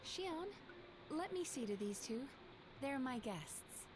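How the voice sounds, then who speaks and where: Courteous spirit hostess, highish pitch, poised, polite, anime game dialogue, noisy ambience. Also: female voice, very animated, slow, full character voice. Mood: composed. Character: composed, courteous, teasing baku spirit woman bathhouse proprietor. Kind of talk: anime-style video game voice acting.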